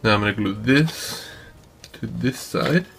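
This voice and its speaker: A man hosting a craft tutorial, talking informally and haltingly as he thinks aloud while working. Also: fairly low pitch, creaky, music in the background. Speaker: DIY maker and video host. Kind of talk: casual hands-on tutorial narration. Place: indoor craft workbench.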